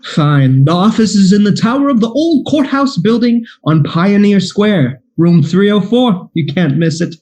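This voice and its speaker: A man playing a fretful private detective in a radio play, flustered and quick to exclaim. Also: sits high, expressive, a little mellow. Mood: businesslike.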